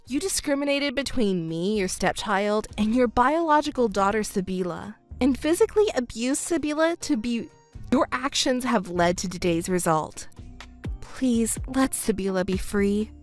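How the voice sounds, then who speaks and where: A woman voicing a calm, cold young stepdaughter in a melodramatic audio drama. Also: on the high side, faint music behind, close to an everyday voice. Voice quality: cool, measured, firm.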